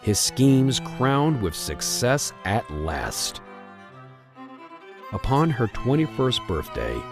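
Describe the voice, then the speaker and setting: A man narrating a scripted old-style radio melodrama in a dramatic, theatrical storytelling manner. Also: fairly low pitch.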